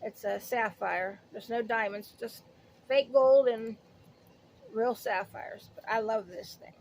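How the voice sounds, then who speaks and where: A woman's voice: on the high side, fairly even intonation, takes it slowly, creaky, darkish tone, slight background noise, low-quality recording. A woman in her late fifties chatting casually through a shopping haul, rambling and interrupting herself as she goes. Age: late 50s. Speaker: haul vlogger. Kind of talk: casual product haul chat.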